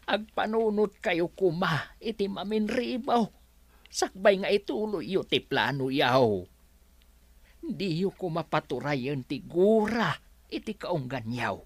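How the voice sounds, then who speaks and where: A woman's voice: on the low side, expressive, slow pace, no room sound, full character voice. A woman with an Ilocano Filipino accent playing a cautious, pleading underling in a radio drama. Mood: worried, pleading. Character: cautious, pleading underling counseling a reckless boss.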